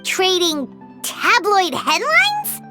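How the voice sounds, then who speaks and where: A woman voicing a small, excitable female fairy companion in a video game, with a squeaky childlike voice and exclamatory manner. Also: extremely slow, music in the background, exaggerated voice. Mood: anxious, indignant. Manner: exclamatory, refers to self in third person.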